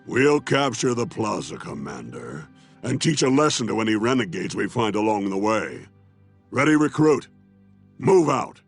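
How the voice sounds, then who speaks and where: An actor playing a gruff, battle-hardened war master in a video game, gravelly, blunt and martial. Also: male voice, fairly low pitch, sing-song, moderate pace, soft background music, exaggerated voice. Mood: resolute. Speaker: military officer. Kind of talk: video game cutscene acting.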